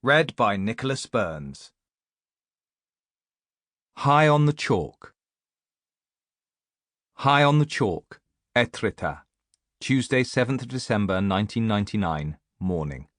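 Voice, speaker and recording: A man's voice: on the low side, expressive, slow, pauses often, fairly bright tone, no echo, clean studio sound. A man reading literary fiction aloud as a scripted audiobook narration. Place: dry recording studio.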